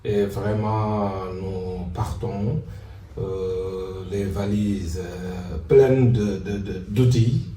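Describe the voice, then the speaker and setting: A man from Senegal whose first language is Serer, answering interview questions in a measured, hesitant way. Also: fairly low pitch, fairly even intonation, mellow. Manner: measured, hesitant with many fillers. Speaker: teacher on a training course. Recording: echoey, distant, faint noise.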